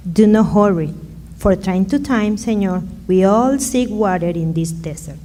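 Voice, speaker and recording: A woman playing a humble, generous Mexican mother in a radio drama, speaking halting Spanish-accented English sprinkled with Spanish words. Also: medium pitch, animated, warm tone, a little hiss or hum, lightly acted. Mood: gentle, helpful.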